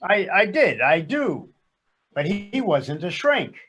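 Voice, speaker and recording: An actor playing a reluctant, argumentative husband in a comic stage sketch, sarcastic and exasperated. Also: male voice, sits high, big pitch swings, slow, a bit bright, breathy edge, exaggerated voice. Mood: contrary. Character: reluctant, grumpy, argumentative husband at couples counseling. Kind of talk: comic readers theater sketch.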